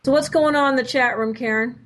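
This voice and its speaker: A woman's voice: high voice, a little fast, a bit bright. A woman hosting a radio talk show, chatting agreeably with her guest and often repeating words as she speaks. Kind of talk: casual radio interview chat.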